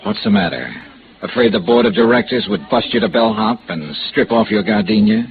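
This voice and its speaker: An American actor playing a hard-boiled, impatient investigator in a radio noir drama, with a clipped, dry, sardonic delivery. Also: male voice, on the low side, lively intonation, brisk, gravelly, noisy background. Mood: sardonic, resigned. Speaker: lead actor and narrator. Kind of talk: 1950s American radio noir acting and narration. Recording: tinny vintage radio recording.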